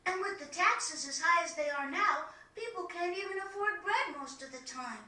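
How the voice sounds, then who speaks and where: A woman's voice: very high-pitched, normal speed, pushed and bright, full character voice. A woman voicing an eager, chatty young boy adventurer in a video game cutscene. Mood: earnest. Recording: echoey, distant game audio.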